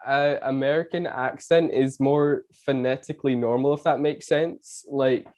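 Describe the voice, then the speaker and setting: Brief: Scottish-accented male podcast host, chatty, mellow warm tone. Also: medium pitch, fairly even intonation, moderate pace. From Canada. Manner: chatty, laughs between phrases. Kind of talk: casual conversation.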